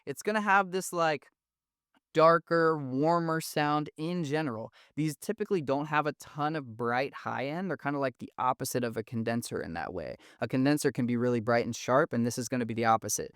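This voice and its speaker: A man explaining recording gear in a casual, enthusiastic teaching style, with a thin, mid-range voice and relaxed, slangy phrasing. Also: mid-range voice, brisk, smooth, no room sound. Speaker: audio engineering instructor. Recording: dry, close studio sound.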